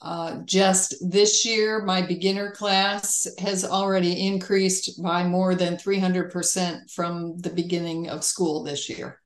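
A woman speaks slowly and evenly in a fairly low, flat voice, reporting facts plainly. Her voice rings in a roomy, echoey space. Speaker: EL teacher, guest.

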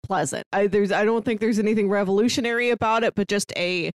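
A woman's voice: medium pitch, fast talker, dry recording, clean studio sound. A woman co-hosting a podcast, giving articulate, opinionated takes in a casual film review chat. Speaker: podcast co-host.

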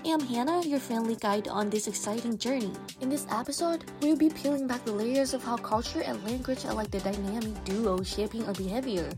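A woman hosting a podcast, a native Thai speaker, bubbly and quick to react with little exclamations. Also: a little high, music in the background. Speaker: podcast host.

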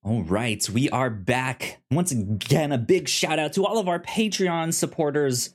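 A man's voice: a little high, unhurried, slight background noise. A man hosting a podcast in a casual, chatty style, often stammering over sounds and words. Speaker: podcast host.

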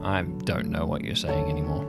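A man's voice: very deep voice, level tone, brisk, vocal fry, over loud music, pitched below the performer's own voice. A man playing an evasive, dismissive former sailor in his thirties in an improvised audio drama. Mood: exasperated. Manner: stubborn and dismissive.

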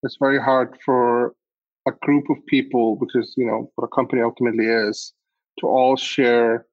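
A man's voice: mid-range voice, level tone, normal speed, no room sound. Hesitant Icelandic man on dull, dry audio. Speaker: podcast guest, agency CEO. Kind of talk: interview answers.

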